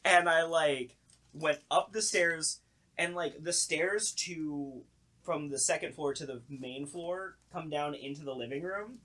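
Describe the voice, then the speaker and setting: A man's voice: fairly high pitch, a little slow, bright, forceful tone. Young man telling stories in casual chat. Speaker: podcast co-host.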